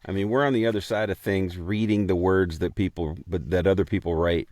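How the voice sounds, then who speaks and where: A man with a low voice chats as a podcast co-host, recorded close with very little room sound. He rambles casually and pokes fun at himself in a playful, joking way. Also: fairly even intonation, fairly quick, darkish tone, no echo. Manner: rambling, self-deprecating, playful.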